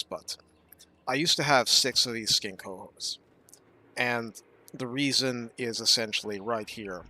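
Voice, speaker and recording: A man giving casual gameplay commentary, explaining his strategy thoughtfully and often pausing with fillers. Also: mid-range voice, bright, forceful tone, a little hiss or hum.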